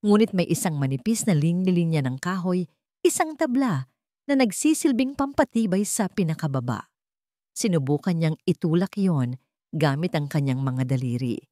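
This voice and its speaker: A woman narrating a scripted story in a dramatic, suspenseful way, in deliberate short phrases. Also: low voice, a little mellow, no echo, clean studio sound.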